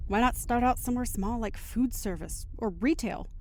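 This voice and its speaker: A woman's voice: a little high, moderate pace, a little mellow, a little hiss or hum, close to an everyday voice. A woman playing a patient, placating middle-aged mother in an audio drama, reassuring and coaxing.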